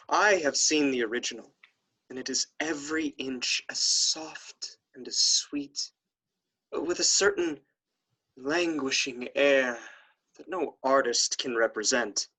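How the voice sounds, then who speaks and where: A man's voice: mid-range voice, slow, pushed and bright, husky, full character voice, low-quality recording. A man playing a proud, jealous young nobleman in a period audio drama, with formal, dramatic archaic speech. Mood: admiring.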